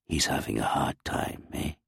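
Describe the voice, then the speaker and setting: A man voicing an elderly grandfather, an archaeologist who is grieving, speaking in a slow, soft, fatherly way. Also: no echo, studio quality, close to an everyday voice. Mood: gentle, reassuring. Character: older male archaeologist grandfather, gentle, grieving, reassuring.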